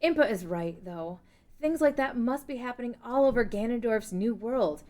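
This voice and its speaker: Lady acting out a young princess, high lilting voice, earnest and decisive, fantasy table read. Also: expressive, normal speed, a little mellow, natural voice. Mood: weary. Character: resolute young princess, commanding yet compassionate. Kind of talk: amateur table read of a game fan script.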